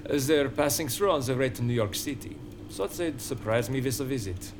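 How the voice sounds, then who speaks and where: A man's voice: mid-range voice, expressive, moderate pace, noisy background, close to an everyday voice. A man playing a friendly, eager young store worker in an audio drama.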